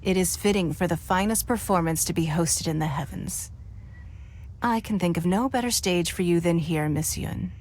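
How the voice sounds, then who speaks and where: A woman's voice: on the low side, normal speed, darkish tone, a little hiss or hum, lightly acted. A woman playing a poised, powerful lady leader in a video game, gracious, formal and persuasive. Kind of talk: scripted video game voice acting.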